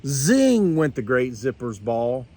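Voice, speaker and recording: An older man reading a children's storybook aloud with expressive, deliberate storytelling. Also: mid-range voice. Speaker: grandparent storyteller. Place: outdoors.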